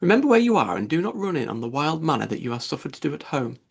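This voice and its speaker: A man's voice: fairly high pitch, very animated, rapid-fire, gravelly, husky, full character voice. A man voicing a fussy, gushing, easily offended middle-aged mother in a classic novel audiobook, effusive and indignant. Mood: scolding.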